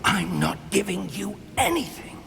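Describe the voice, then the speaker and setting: A middle-aged wizard professor, earnest and protective. His voice is fairly high for a man, creaky and very expressive, in a video game cutscene. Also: moderate pace, music in the background, full character voice. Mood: defiant.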